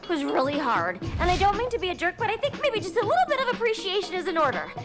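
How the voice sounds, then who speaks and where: A woman's voice: very high voice, very animated, quick pace. A woman playing a sweet, earnest, girlish teenage cheerleader in a video game cutscene. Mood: sweetly defensive. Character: sweet, perky teenage cheerleader girlfriend with magic. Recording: loud game music behind.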